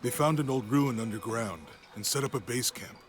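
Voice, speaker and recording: A man's voice: medium pitch, normal speed, lightly acted. A man playing a casual, wry companion to a heroine in a video game cutscene, briefing her plainly. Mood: concerned. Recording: music behind game dialogue.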